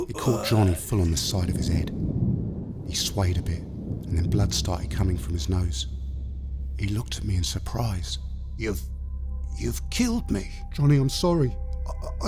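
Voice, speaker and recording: Low-pitched British male storyteller. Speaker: narrator. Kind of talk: first-person scripted horror narration.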